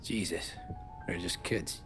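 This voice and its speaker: Low-voiced, brooding American mobster. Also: male voice, slow, a bit bright. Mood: curt, uneasy. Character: weary, loyal young mobster and former cab driver. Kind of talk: cinematic video game crime drama acting. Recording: music behind the voice.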